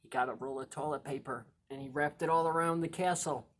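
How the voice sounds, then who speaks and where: A man reading a children's picture book aloud as a storytime host, playful and expressive, with emphatic words and chatty asides to young listeners. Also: fairly high pitch, fairly quick. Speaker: library storytime reader and host.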